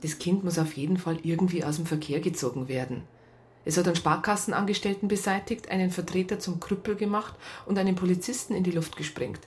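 An actress playing a wryly observant police psychologist, narrating a radio crime drama in a measured way. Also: female voice, fairly low pitch, darkish tone, slight background noise, natural voice. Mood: concerned.

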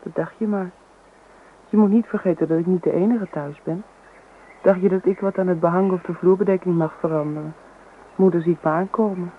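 A woman's voice: on the low side, fairly even intonation, fairly quick, natural voice. Casting: actress for hesitant young woman in a radio play, earnest, bashful, dull recording with faint noise. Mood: mildly discontented. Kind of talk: Dutch radio drama dialogue.

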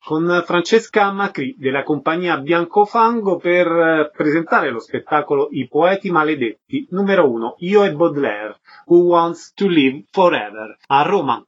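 A man's voice: fairly high pitch, slightly rough, dull audio. A man hosting a radio interview, giving introductions and putting questions to his guests.

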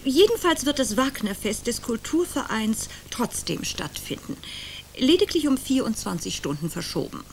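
An actress playing a theatrical older woman, a former celebrated opera singer, who speaks grandly and drops into hushed whispers. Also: a little high, big pitch swings, moderate pace, a little hiss or hum. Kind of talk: radio drama acting.

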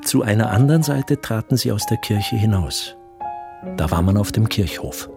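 A man playing the composed narrator of a literary radio play, telling an old tale in a measured way. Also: on the low side, expressive, creaky, over music.

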